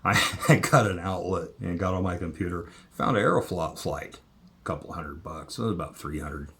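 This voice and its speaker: A man's voice: sits low, moderate pace, a bit bright. A man vlogger telling a travel story casually, rambling and correcting himself with many restarts.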